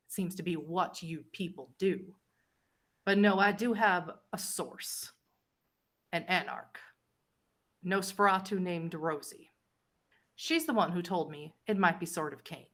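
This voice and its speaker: A woman playing a haughty, powerful vampire ruler in a tabletop roleplay, measured and imperious, with deliberate pauses. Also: fairly low pitch, fairly bright tone. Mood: coolly informative. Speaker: game master.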